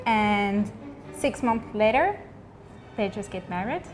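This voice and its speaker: A woman's voice: mid-range voice, lively intonation, slow pace, soft background music. A woman from the south of France chatting casually and asking curious follow-up questions. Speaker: language learner guest. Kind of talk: casual chat.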